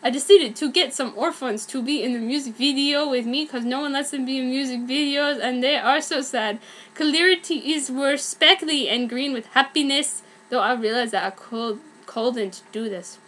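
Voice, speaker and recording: A young woman reads amateur fan fiction aloud for comedy. Her voice is high and bright, and her delivery is theatrical and mock-earnest. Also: normal speed.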